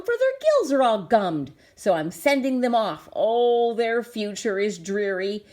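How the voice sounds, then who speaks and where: A woman's voice: on the high side, a little mellow. A woman voicing an indignant, preachy forest creature from a storybook, scolding in emphatic rhyme. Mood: dismayed. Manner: emphatic, scolding, rhyming delivery.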